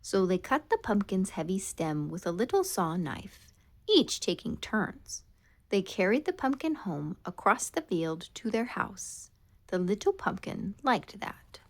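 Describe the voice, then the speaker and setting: A woman reading a children's story aloud in a dramatic, expressive way, drawing out key words. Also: medium pitch, unhurried. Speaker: storybook reader. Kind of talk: scripted children's storytime narration.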